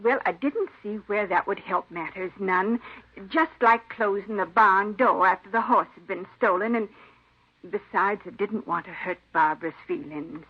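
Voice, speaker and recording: An actress playing a folksy, forgetful, kindly elderly aunt who rambles in an old radio drama. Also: female voice, mid-range voice, moderate pace, lightly acted. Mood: rambling, confiding. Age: older. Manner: rambling, folksy rural phrasing. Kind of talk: 1950s radio drama acting. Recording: dull old radio recording.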